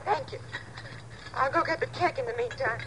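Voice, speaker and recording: A woman's voice: high voice, normal speed, close to an everyday voice. A woman playing a nervous, apologetic and flustered lady in a 1950s radio drama. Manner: flustered, shaky. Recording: very noisy, distant 1950s radio recording.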